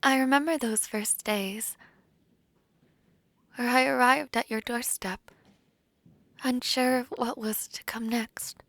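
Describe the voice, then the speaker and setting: Soft, slow woman acting a devoted princess bride. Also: a little high, fairly even intonation, no echo. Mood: tender, heartfelt. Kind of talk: scripted romantic audio roleplay.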